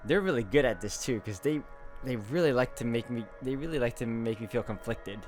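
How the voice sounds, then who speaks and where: A man's voice: medium pitch, brisk, a little mellow. A man doing a let's play, reading a visual novel aloud and adding casual asides as he thinks aloud. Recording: music behind the voice.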